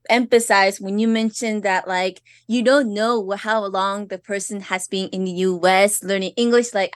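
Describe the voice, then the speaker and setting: A woman podcast host with a slight Japanese accent, a native Japanese speaker, talking in a chatty, expressive way. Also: mid-range voice, dry recording, clean studio sound. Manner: warm, chatty, expressive with fillers and laughs. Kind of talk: casual podcast interview.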